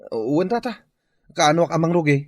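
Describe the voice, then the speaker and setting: A man's voice: a little high, very animated, fairly quick, no room sound, clean studio sound. A man with a Filipino Ilocano accent playing a hungry, jobless father who pleads plaintively in a radio comedy drama. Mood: eager. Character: hungry, pleading jobless husband and father longing for his family.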